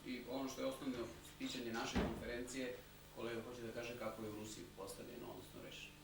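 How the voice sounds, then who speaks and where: A man's voice: mid-range voice, little pitch movement, quick pace, creaky. A man interpreting consecutively at a conference, summarizing the speaker loosely in his own words. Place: conference hall. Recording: very echoey hall, distant mic, audible hiss.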